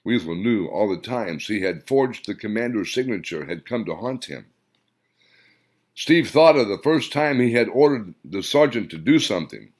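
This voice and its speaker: A man with a lowish voice reads his own story aloud. His narration is lively, with pitch rising and falling a great deal. He tells it expressively, as a storyteller. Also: normal speed. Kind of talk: audiobook narration.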